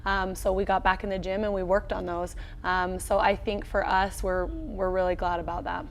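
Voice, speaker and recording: A woman's voice: medium pitch, little pitch movement, moderate pace. An American woman in her thirties, a coach answering casually in an interview. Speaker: college volleyball head coach. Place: indoor locker-room style studio set.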